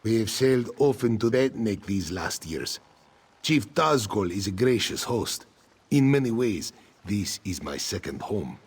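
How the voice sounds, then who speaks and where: Husky, courteous sea master. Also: male voice, on the low side, sing-song, unhurried, full character voice. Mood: fond, courteous. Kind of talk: fantasy video game dialogue.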